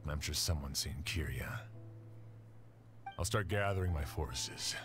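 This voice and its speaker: An actor voicing an earnest, resolute town sheriff in an anime video game, with a firm delivery. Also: male voice, extremely low pitch, measured pace, music in the background. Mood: determined. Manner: firm, declarative.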